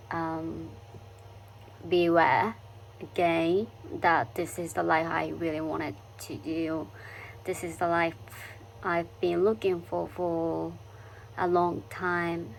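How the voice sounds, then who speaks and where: A woman's voice: fairly low pitch, a little hiss or hum, low-quality recording. A woman with a Japanese accent talking reflectively in a personal vlog, searching for words with many fillers and restarts. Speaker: vlogger. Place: living in London.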